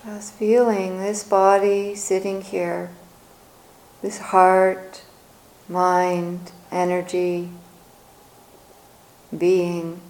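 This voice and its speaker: Slow, mellow woman guiding meditation. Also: on the low side, poor audio. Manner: gentle, soothing, unhurried phrasing. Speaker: meditation teacher. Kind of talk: guided meditation, softly spoken with long pauses.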